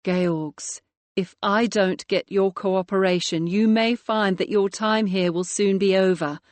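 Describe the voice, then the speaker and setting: A brisk female police detective gives orders in a crisp, firm voice, as a woman reader plays her. She is commanding and businesslike, and the recording is dry and close. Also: mid-range voice, fairly even intonation, no echo, natural voice. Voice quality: crisp, authoritative.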